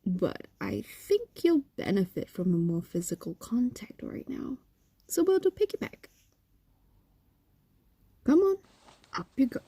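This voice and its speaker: Slow, soft, possessive fox demoness, acted by a woman. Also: medium pitch, big pitch swings, breathy edge, dry recording, heavily acted. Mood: fond, teasing. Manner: slow, soft, often whispering, coaxing and condescending. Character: possessive, doting ancient female fox demon. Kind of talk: roleplay audio drama, intimate monologue.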